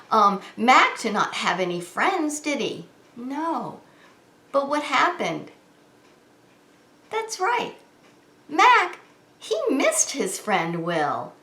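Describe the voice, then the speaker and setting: A woman preschool teacher leading story time, talking to young children in an animated, sing-song way with questions she answers herself. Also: a little high. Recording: roomy, echoing indoor sound.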